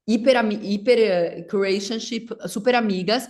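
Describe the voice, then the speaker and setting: A middle-aged woman whose first language is English chats as a facilitator guest. Her voice sits at an ordinary middle pitch, and she rambles, restarting sentences and dropping in English words. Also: moderate pace, darkish tone. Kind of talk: casual conversation.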